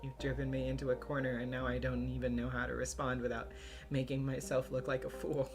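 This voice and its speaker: A solo performer acts out a fragile Soviet schoolgirl narrating her own grief in a visual novel. The speech is halting and formal, full of self-reproach, and liable to strain upward into tearful outbursts. Music plays clearly behind it. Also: mid-range voice, little pitch movement, close to an everyday voice. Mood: bitter, self-mocking. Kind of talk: visual novel voice acting, first-person narration.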